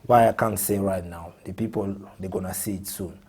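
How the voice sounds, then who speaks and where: A man's voice: deep voice, steady tone, fairly quick, a little mellow, slightly rough. A man from Congo, a music artist guest talking earnestly in a casual interview and often repeating his words.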